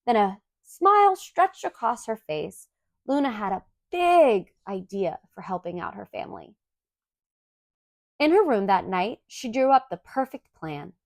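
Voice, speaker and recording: A woman reads a children's story aloud in an expressive, clearly enunciated storytelling manner. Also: on the high side, measured pace, no echo, studio quality. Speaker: storybook reader.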